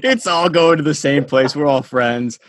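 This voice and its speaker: A man's voice: a little high, normal speed, dry recording, studio quality. A man hosting a sports podcast interview, chatty and casual with lots of fillers and laughter. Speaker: podcast host.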